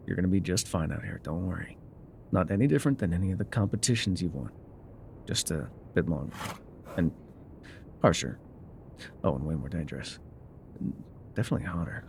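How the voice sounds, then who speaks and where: Man acting a gruff low-voiced loner guide. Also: lively intonation, brisk, pauses often, a little mellow, a little hiss or hum. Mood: gentle, reassuring. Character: gruff, wry loner man guiding a rider on foot. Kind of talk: ASMR roleplay voice acting.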